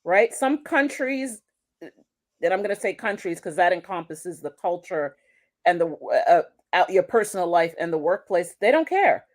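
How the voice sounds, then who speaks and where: A woman with a somewhat low voice, speaking as an interview guest. She thinks aloud, restarts her sentences and often closes a point with a rhetorical "right?". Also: expressive, normal speed. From United States. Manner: reflective, restarts sentences, asks rhetorical questions ending in "right?". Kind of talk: conversational interview.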